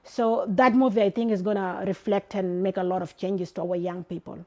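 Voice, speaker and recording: A woman's voice: medium pitch, steady tone, a little fast. Middle-aged mum, earnest, talking about her kids. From South Sudan. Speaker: community mother.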